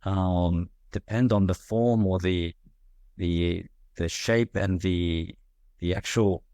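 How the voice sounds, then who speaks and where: A man hosting a podcast, chatting thoughtfully with many fillers and pauses. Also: sits low, steady tone, dark tone, dry recording, clean studio sound. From Korea. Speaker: podcast host. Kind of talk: casual conversation. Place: Australia.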